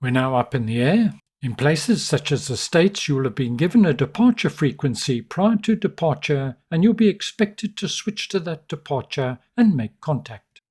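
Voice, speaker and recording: Man, husky voice, lively melodic delivery, orderly tutorial narration. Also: fairly high pitch, moderate pace, few pauses. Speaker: flight sim tutorial narrator. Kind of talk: instructional narration.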